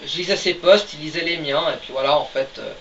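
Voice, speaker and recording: Guy with a high voice, lots of umms, jokey, far from the mic, muffled lo-fi with a bit of hiss. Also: fairly quick, a bit bright. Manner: hesitant, many fillers, joking. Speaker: podcast co-host, writer of the story. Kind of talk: casual chat. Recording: distant mic, dull lo-fi sound, faint hiss.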